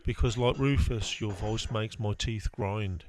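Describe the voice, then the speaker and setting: A man's voice: on the low side, unhurried, lightly acted. A man playing a surly, insulting, stubborn stranger in an amateur comedy audio drama. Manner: curt, surly. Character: grumpy, insulting, stubborn mysterious male stranger.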